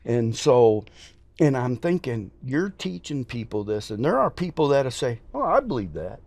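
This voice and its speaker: A man's voice: mid-range voice, big pitch swings, normal speed, vocal fry, a little mellow, dry recording. Man, scratchy voice, emphatic folksy preacher, dry sound. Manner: earnest, emphatic, folksy storytelling. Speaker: preacher, podcast guest. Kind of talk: casual interview talk.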